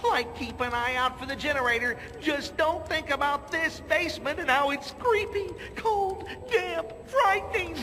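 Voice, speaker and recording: A man's voice: extremely high pitch, normal speed, hardly any pauses, pushed and bright, long reverb tail. A man voicing a cowardly, hungry young slacker in a cartoon game, whiny and skittish with hippie slang. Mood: nervous.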